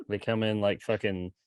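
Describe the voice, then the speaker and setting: A man's voice: lowish voice, a little mellow, clean tone, dry recording. A man co-hosting a podcast, joking with profane, laughing banter.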